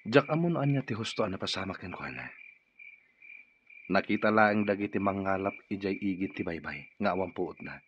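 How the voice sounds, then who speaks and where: An actor with a Filipino accent playing an earnest, steady policeman in a radio drama. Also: low voice, moderate pace, highs cut off, slight background noise.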